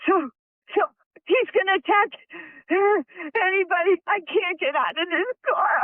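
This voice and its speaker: A woman's voice: very high voice, normal speed, no echo. A woman making an emergency call, crying, panicked and pleading over and over. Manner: screaming, pleading, repeating. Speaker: emergency caller. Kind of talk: archival emergency call recording. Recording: tinny phone-line audio.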